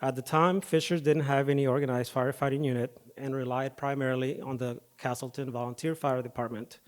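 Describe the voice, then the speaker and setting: A man, a firefighter paramedic, giving a prepared speech at an awards ceremony podium, his voice a little off from recent dental work, starting with fillers and then settling into steady reading. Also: mid-range voice, fairly quick. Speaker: firefighter paramedic and foundation president. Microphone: podium microphone.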